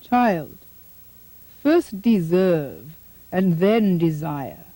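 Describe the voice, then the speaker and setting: Puppet-show villain: cruel, condescending queen, measured and theatrical, dark mellow tone female performer. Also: on the low side, very animated, dry recording, exaggerated voice, poor audio. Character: haughty, cruel stepmother queen. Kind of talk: puppet show dramatic acting.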